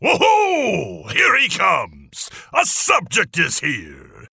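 An actor playing a pompous, lonely king in a children's fairy tale, stammering and blustering with a raspy voice. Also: high voice, wildly swooping pitch, very slow, pushed and bright, no room sound, studio quality, exaggerated voice. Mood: excited. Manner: stammering, blustering, throat-clearing.

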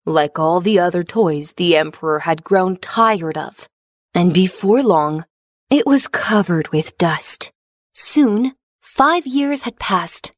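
A woman narrates a fairy tale for children. Her voice sits at a medium pitch and follows a script in storybook fashion. The recording is dry, with no room sound. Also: no room sound.

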